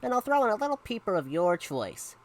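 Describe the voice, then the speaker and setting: A woman's voice: mid-range voice, big pitch swings, fairly quick, voice pushed up, close to an everyday voice. A woman voicing a dramatic, indignant young boy in a picture-book story, pleading and wailing. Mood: bargaining. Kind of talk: animated picture-book read-aloud.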